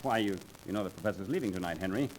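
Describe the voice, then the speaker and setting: Actor as a rapid-talking skeptical business partner. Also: male voice, sits low, natural voice. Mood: brisk, skeptical. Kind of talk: 1930s radio acting. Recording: noisy 1930s radio transcription disc.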